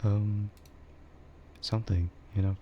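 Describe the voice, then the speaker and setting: Male streamer, deep and unhurried, for soft, meandering ASMR chat; dull lo-fi sound. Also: fairly even intonation. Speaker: VTuber streamer. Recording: dull lo-fi microphone with faint noise.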